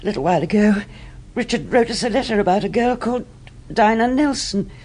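A woman's voice: medium pitch, expressive, fairly quick, darkish tone, natural voice. An actress playing a shaken, grieving mother in a radio drama, hesitant and faltering. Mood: subdued.

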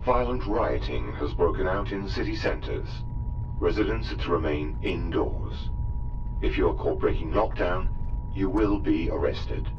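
A man acts as a stern official broadcast announcer. The voice is very low and formal, heard through a dull, lo-fi feed with clear rumbling noise. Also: animated, unhurried. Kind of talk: emergency announcement in an audio drama.

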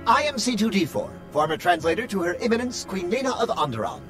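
A man's voice: high voice, animated, fast, loud music behind the voice. A man playing a fretful, servile translator droid in a video game, with a prim, wordy, over-polite manner. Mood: prim, formal. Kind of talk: theatrical video game acting.

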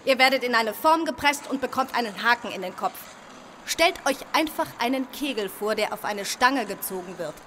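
A woman's voice: fairly high pitch, expressive, normal speed, bright, forceful tone, slight background noise. A woman acting a stern heavenly announcer who instructs the dead in a radio play, matter-of-fact and commanding. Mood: stern, unsparing.

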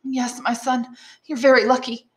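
A woman's voice: fairly high pitch, little pitch movement, normal speed, a bit bright, pitched above the performer's own voice, lightly acted. A woman reading an audiobook as a loving, fretful old father. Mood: glad. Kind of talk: audiobook storytelling.

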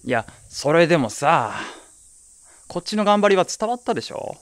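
A man's voice: on the high side, big pitch swings, normal speed, no echo. An actor playing a hesitant, self-conscious young man looking for nostalgia in his hometown, in a naturalistic radio drama. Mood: exasperated. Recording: night insect ambience behind voice.

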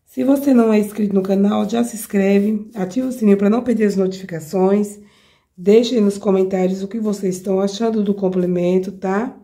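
A Brazilian woman with a cold, her voice hoarse and mellow, walks viewers through a craft project at her work table. She talks in a chatty way and keeps checking in with the people watching. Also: mid-range voice, steady tone, fairly quick. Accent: Brazilian Portuguese. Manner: chatty, addresses viewers directly with frequent checks. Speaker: craft tutorial host. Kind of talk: step-by-step craft demonstration. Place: home craft table, indoors.

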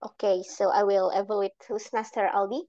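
A young woman with an Indonesian accent giving halting, simply phrased speech feedback as an evaluator on a video call. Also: mid-range voice, little pitch movement, warm tone, dull audio. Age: young adult. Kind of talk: spoken speech feedback at a club meeting.

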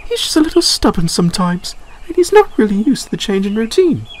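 A man's voice: sits high, big pitch swings, fairly quick, background noise, pitched above the performer's own voice. A man voicing a kindly, motherly female railway coach in a storybook, soothing and reassuring. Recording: background music.

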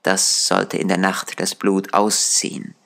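A male storyteller reads a dark fairy tale from a script. His voice is lowish and creaky, and he takes his time, measured and deliberate. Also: monotone.

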